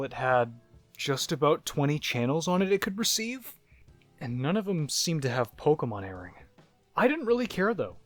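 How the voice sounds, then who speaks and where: A man voicing a haunted narrator who looks back on disturbing childhood memories in a first-person horror story, halting and reflective, like a confession. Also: on the high side, no room sound. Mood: wistful, reminiscing. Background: music.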